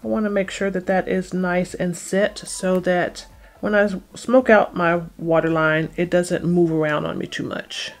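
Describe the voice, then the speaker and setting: A woman's voice: on the low side, fairly even intonation, a little slow, soft background music. A middle-aged woman casually talking viewers through a makeup tutorial step by step, in an explanatory way. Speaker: beauty vlogger.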